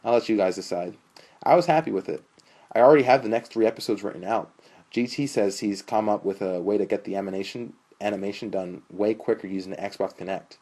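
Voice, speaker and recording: A cocky young dude with a low, slightly rough voice acted by a male storyteller. He is laid-back and slangy, a smirking bragger from an audiobook. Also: fairly quick, darkish tone. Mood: proud, eager.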